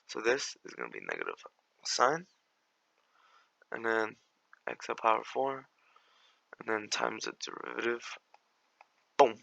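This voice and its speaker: Young man, raspy gravelly voice, bright tone, low pitch, slangy math tutor. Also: huge pitch swings, a little slow, pauses often, low-quality recording. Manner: slangy, laid-back, apologetic. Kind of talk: casual tutoring walkthrough.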